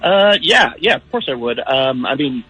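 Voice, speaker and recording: A man's voice: mid-range voice, sing-song, moderate pace, bright, forceful tone, breathy edge, soft background music, poor audio. A man chatting informally on a call to a radio show. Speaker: radio phone-in guest, prank-call target. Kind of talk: casual, informal phone chat.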